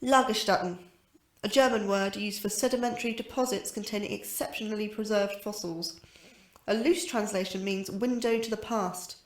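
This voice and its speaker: An actress playing a young astrobiologist, anxious and wry, with a dry, scholarly way of talking. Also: female voice, mid-range voice, fairly even intonation, moderate pace, lightly acted. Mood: wry, lecturing. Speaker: lecturer. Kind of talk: scripted lecture in audio drama. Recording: roomy echo, faint music behind.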